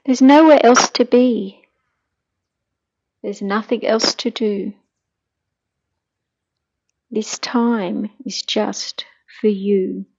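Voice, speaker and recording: Slow, mellow woman guiding meditation, muffled sound. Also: mid-range voice, steady tone. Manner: gentle, soothing, hushed, breathy at times. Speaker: meditation guide. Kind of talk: guided meditation.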